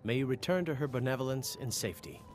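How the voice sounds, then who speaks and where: An actor playing a zealous priest in a fantasy video game, preaching in a solemn, declamatory way. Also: male voice, mid-range voice, lively intonation, fast, soft background music, lightly acted. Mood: solemn blessing.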